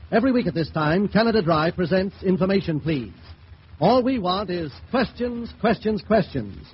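A man announcing a vintage radio program in a polished, declamatory sales style. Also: sits high. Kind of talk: 1930s-40s radio announcing. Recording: noisy, dull vintage radio recording.

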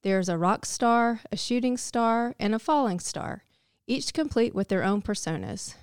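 A woman's voice: fairly high pitch, expressive, normal speed, clean tone, no room sound, clean studio sound. A woman giving a scripted, reflective devotional narration. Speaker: devotional speaker.

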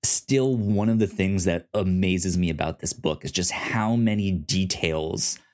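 A man co-hosting a podcast, chatting casually about comics with many halting, stammered restarts. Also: deep voice, a little mellow. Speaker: podcast co-host.

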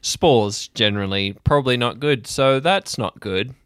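A man acting as game master, improvising descriptive scene narration for a tabletop roleplay, halting as he searches for words. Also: medium pitch, a bit bright, clear, resonant tone, no room sound, studio quality.

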